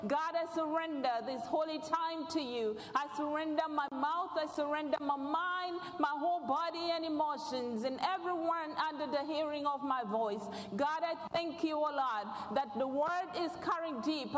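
A woman's voice: high voice, slight background noise. A middle-aged woman from Uganda with a Ugandan accent, preaching and praying fervently from a large church stage. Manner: fervent, repetitive praying cadence. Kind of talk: prayer and sermon. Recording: echoey church hall.

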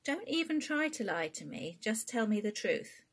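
A protective, plain-spoken mum from a storybook, read by a woman. She speaks firmly but fondly to her grown daughter, in a husky voice lifted a little above the narration. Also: a little high, very animated, moderate pace, pitched above the performer's own voice. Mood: suspicious, firm. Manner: firm, motherly, plain-spoken. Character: protective, affectionate working-class mum, middle-aged or older.

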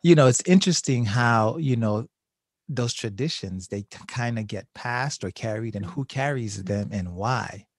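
A man's voice: medium pitch, moderate pace, dry recording, studio quality. A middle-aged man hosting a casual podcast, telling stories animatedly and acting out quotes with laughing asides. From Haiti. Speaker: podcast host, social worker.

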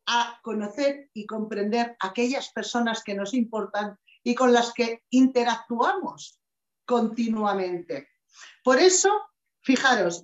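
A middle-aged woman speaks slowly and emphatically in a slightly high voice. She gives an inspirational talk over a webcam, and the small office around her sounds echoey. The sound is dull and lo-fi. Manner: slow, measured and emphatic, with expressive hand gestures. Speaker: conference speaker. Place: small office with shelves, indoors. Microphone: webcam video call.

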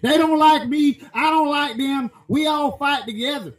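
Man playing a crude loudmouth country guy, rambling profane rant, very high voice, echoey room. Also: normal speed, soft background music. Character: crude loudmouth rural American man voter.

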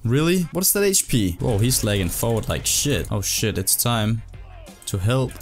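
A man's voice: medium pitch, animated, moderate pace, faint music behind. A young man from Denmark giving casual, exasperated, sweary commentary while playing a video game. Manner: sweary, exasperated gamer talk. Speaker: gaming video host.

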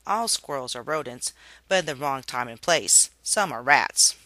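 A storybook reader giving an expressive read-aloud narration for children. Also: mid-range voice, normal speed, no room sound.

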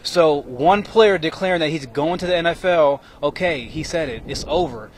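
A man in his thirties, a former player, giving measured, reflective analysis on live TV from an outdoor stadium at night into a handheld mic. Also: on the high side. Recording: faint stadium noise outdoors.

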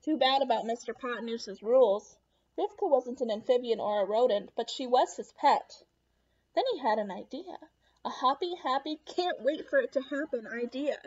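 A young woman reading a picture book aloud to children in an animated, expressive way. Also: a little high, normal speed, husky, dull audio. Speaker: children's read-aloud host. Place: small room at home.

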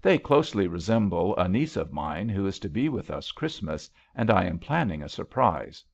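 Bluff male banker, voiced by a man narrating, hearty, commanding, lively pitch, storybook read, slightly muffled. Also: vocal fry, exaggerated voice. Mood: hearty, brisk. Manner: brisk, hearty, clipped phrases.

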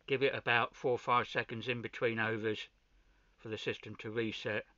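A man giving an informal hands-on video demonstration, pausing between short phrases, with muffled sound. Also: mid-range voice, flat delivery, bright, forceful tone, dry recording. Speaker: amateur radio hobbyist presenter.

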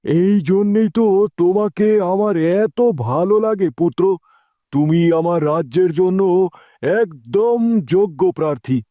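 A man's voice: high voice, a little slow, dark tone, dry recording. A man playing an ailing elderly king in a fairy-tale cartoon, speaking in a formal, plaintive way. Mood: fond. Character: ailing elderly king, weary and guilt-troubled.

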